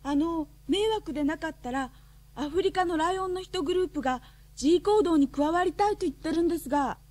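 An actress playing a gentle, earnest young woman, a dreamy revolutionary, in a radio drama with a hesitant, sincere manner. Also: very high voice, brisk. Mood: hesitant, polite. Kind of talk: Japanese radio drama acting.

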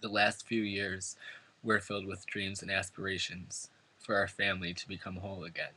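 A man's voice: deep voice, flat delivery, normal speed, bright, forceful tone, breathy edge, rough recording. A man from New York State reading a prepared eulogy at a funeral, earnest and emotional. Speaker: son giving a eulogy. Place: funeral service before family and friends.

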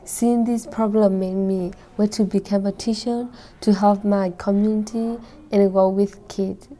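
A teenage girl from Burma reads her personal story as a high school student, in careful, simple phrasing. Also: little pitch movement, a little slow, dark tone. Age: late teens.

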